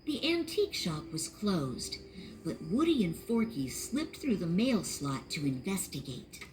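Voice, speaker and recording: A woman narrating a children's read-along storybook in a clear, expressive storytelling manner. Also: on the low side, a little slow, soft background music, low-quality recording.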